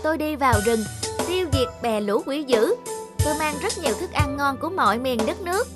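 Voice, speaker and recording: A woman voicing a brave, determined young boy hero in an animated folk tale, speaking in firm, earnest declarations. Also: high-pitched, brisk, over music. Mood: earnest, resolute.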